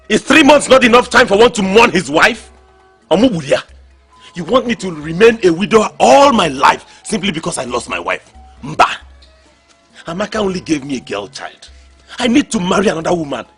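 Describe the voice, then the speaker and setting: An actor with a Nigerian accent playing a grieving, then selfish widower in a drama, given to loud dramatic outbursts. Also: male voice, high voice, sing-song, moderate pace, husky, faint music behind, exaggerated voice. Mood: defiant. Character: grieving then selfish Igbo widower, middle-aged man. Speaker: widower husband. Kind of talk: Nollywood drama acting.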